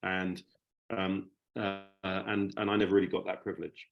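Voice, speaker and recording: Low-pitched man, halting and reflective. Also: level tone, vocal fry, lo-fi sound. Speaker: panel guest. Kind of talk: reflective personal recollection.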